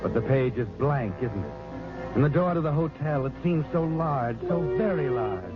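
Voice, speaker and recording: A man's voice: a little high, expressive, normal speed, loud music behind the voice, natural voice. Man as an ominous old radio narrator, muffled. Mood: tense, suspenseful. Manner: second-person, insinuating, suspenseful. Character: ominous male narrator needling the guilty hero. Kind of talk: 1940s radio suspense narration.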